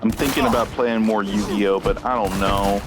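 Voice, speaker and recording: A man's voice: fairly low pitch, steady tone, normal speed, noisy background. A man chatting casually as a livestreamer, rambling and self-deprecating, with an everyday conversational delivery.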